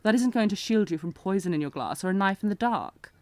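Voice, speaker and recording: A woman's voice: medium pitch, lively intonation, fast talker, darkish tone, close to an everyday voice. A woman playing a sardonic, protective knight bodyguard in a fantasy audio roleplay, with a taunting, commanding, intense manner. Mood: mocking, exasperated. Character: sardonic, protective female knight bodyguard, old academy rival. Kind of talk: scripted fantasy audio roleplay, acted one-sided dialogue.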